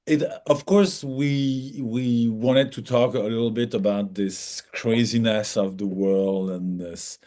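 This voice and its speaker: Low-voiced man answering interview questions. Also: dark tone. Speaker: filmmaker being interviewed.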